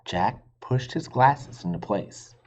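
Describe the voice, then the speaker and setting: A man's voice: mid-range voice, lively intonation, slow, darkish tone. A man reading a children's storybook aloud expressively, like a teacher, on a slightly muffled recording. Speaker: reader, teacher.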